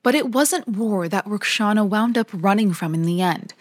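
A woman hosting a podcast, narrating a scripted documentary story in a measured, even way. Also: mid-range voice, no echo. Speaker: podcast host.

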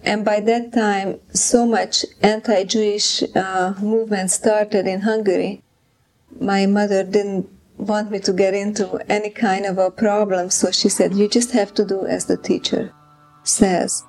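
A woman in her eighties from Czechoslovakia recalling her childhood in an interview, speaking in a measured, reflective way. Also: medium pitch, flat delivery, darkish tone, rough recording. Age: 80s. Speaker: interviewee, Holocaust survivor. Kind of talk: recounting memories in an interview.

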